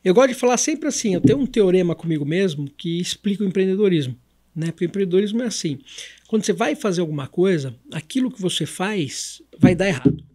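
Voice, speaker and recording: A man's voice: high-pitched, expressive, fast, darkish tone. A Brazilian man as a podcast guest, explaining conversationally in a didactic way with repetition and self-answered questions. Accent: Brazilian Portuguese.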